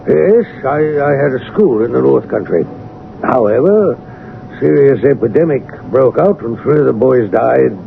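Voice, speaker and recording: Creaky-voiced genial scholarly country gentleman. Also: medium pitch, lively intonation, moderate pace, narrow, tinny audio. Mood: urbane. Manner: urbane, formal, sociable. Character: genial, learned country naturalist, a former schoolmaster. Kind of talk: radio drama acting. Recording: band-limited old radio broadcast with hiss.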